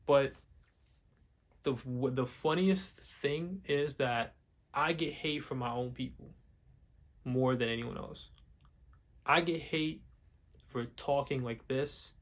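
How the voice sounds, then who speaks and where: A man's voice: medium pitch, slow pace, long pauses. Young guy vlogging, english not his first language, keeps restarting sentences, mid voice. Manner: rambling, repeats words and restarts a lot. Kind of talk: casual talk to camera.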